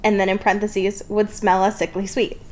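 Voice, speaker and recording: A woman's voice: medium pitch, level tone, brisk. A young woman co-hosting a casual, comedic fan podcast in a playful, informal, giggly way, swearing freely. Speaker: podcast co-host.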